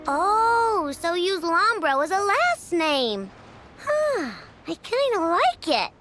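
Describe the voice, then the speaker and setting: A cocky little girl inventor from a video game, very high and swooping in pitch. She bubbles over and brags happily, with music clearly behind her. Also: sing-song, slow pace, a bit bright, heavily acted. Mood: curious. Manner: exclamatory, bubbly, boastful.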